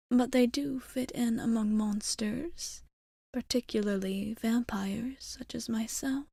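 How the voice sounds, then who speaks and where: A woman playing a gentle, courteous vampire bartender in an ASMR roleplay, soft-spoken and breathy, often near a whisper, with hesitant, formal phrasing. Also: fairly high pitch, no echo, exaggerated voice. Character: gentle, courteous female vampire bartender, tenderly devoted immortal. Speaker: ASMR performer. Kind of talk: ASMR roleplay addressed to the listener.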